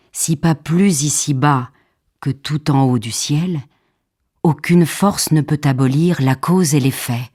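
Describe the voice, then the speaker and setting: A woman reciting poetry for an audiobook in a solemn, measured manner, with a husky, breathy voice and deliberate phrasing. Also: extremely low pitch, mellow. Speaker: poetry reader. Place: radio theatre recording studio.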